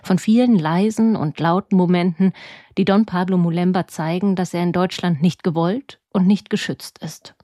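A woman reporter narrates a scripted book review with measured, deliberate phrasing. Her voice is on the low side and mellow. The recording is close and dry. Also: fairly even intonation, dry recording.

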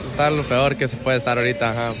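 A man's voice: medium pitch, steady tone, fast, music in the background. A man from Mexico with a Mexican accent, casually answering a street interview as a fan. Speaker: football fan being interviewed. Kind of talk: casual vox pop. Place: outdoors at a stadium entrance.

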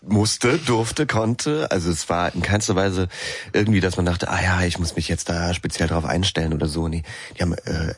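A man speaking casually as an interviewed actor, halting as he searches for words. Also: low voice, breathy edge, no echo. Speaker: actor interviewed about his film.

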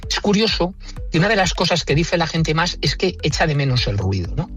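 A man's voice: fairly high pitch, sing-song, fairly quick, fairly bright tone, soft background music. A man with a central Spanish accent, explaining things conversationally with emphatic opinions and everyday examples, as an engineer being interviewed. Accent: central Spain Spanish. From Madrid, Spain. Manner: emphatic, opinionated, rhetorical questions.

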